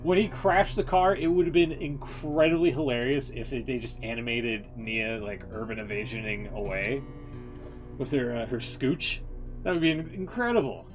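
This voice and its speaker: A man livestreaming casual, rambling commentary on a video game, opinionated, slangy and quick to swear. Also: mid-range voice, soft background music.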